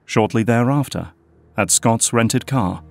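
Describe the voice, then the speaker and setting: A man giving steady, plain scripted narration for an audio drama. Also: mid-range voice, unhurried, gravelly, soft background music.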